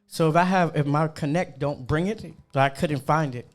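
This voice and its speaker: A young man from New York, a cook, chatting casually and animatedly with slang and quick asides. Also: a little high. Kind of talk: casual chat.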